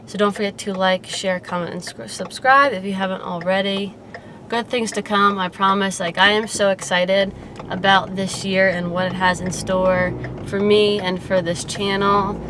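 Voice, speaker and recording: A woman's voice: mid-range voice, steady tone, normal speed. A young woman in her twenties with a slight Baltimore accent, chatting casually and upbeat as a fitness vlogger while she drives, filmed from a dashboard camera. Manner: rambling, upbeat, frequent "like" fillers. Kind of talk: casual vlog chat. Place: inside a car while driving. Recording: loud car road noise. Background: car engine and road noise.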